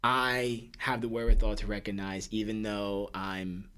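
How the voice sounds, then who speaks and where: Low-voiced, measured male podcast host. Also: flat delivery, sharp tone, smooth. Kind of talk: casual podcast interview.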